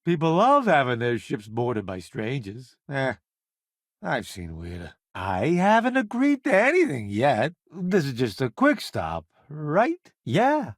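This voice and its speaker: An actor playing a wry, self-promoting food columnist turned space crewmate in a video game, breezy and full of sardonic wisecracks. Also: male voice, mid-range voice, huge pitch swings, takes it slowly, no echo, clean studio sound, full character voice.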